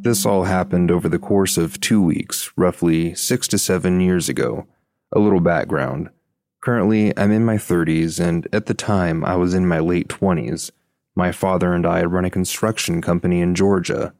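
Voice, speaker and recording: A man's voice: deep voice, fairly even intonation, moderate pace, darkish tone, no room sound, clean studio sound. A man reading a scripted first-person account as a podcast narrator. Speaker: podcast host reading listener stories.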